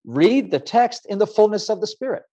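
Man from Ecuador, measured and reflective, slightly high voice, dull muffled audio. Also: lively intonation, mellow. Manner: measured, thoughtful, many fillers. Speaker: author and guest. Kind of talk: reflective interview answer.

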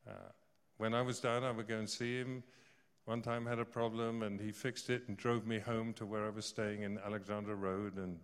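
A man's voice: lowish voice, flat delivery, normal speed, clean tone. A man in his sixties preaching a sermon, telling stories with joking asides. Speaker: visiting preacher. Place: church service hall. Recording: long reverb of a large hall.

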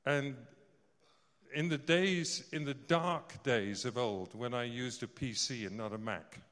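A man's voice: medium pitch, slow pace, fairly bright tone. A man in his sixties preaching a sermon, telling stories with joking asides. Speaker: visiting preacher. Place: church service hall. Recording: long reverb of a large hall.

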